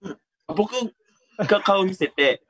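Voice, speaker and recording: A man's voice: high voice, slow pace, a bit bright, slightly rough. A young man, a comedian, bantering casually over a video call. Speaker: comedian guest. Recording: video call with music behind.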